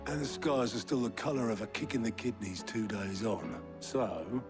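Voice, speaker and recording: A man's voice: lowish voice, expressive, moderate pace, music in the background, natural voice. A man playing a blunt, wry comrade with dry humour in a video game drama. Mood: wry, encouraging.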